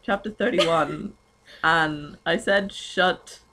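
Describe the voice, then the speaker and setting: A woman's voice: on the low side, takes it slowly, background noise. A young woman doing a comedic dramatic reading of fan fiction, giggly and dropping in mocking asides as an amateur reader. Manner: giggly, frequently breaks into laughter, mocking asides.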